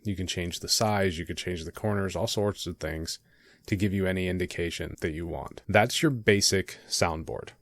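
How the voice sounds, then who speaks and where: Male tutorial host, low register, conversational step-by-step delivery, very dry recording. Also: moderate pace, dry recording. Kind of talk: conversational software tutorial narration.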